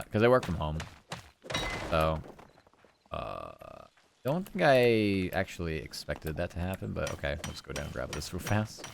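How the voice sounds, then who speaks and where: A man's voice: low-pitched, creaky, slight background noise. A man livestreaming gameplay, chatting casually with viewers in a cozy, easygoing voice, musing aloud and trailing through his thoughts. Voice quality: comfy, cozy tone.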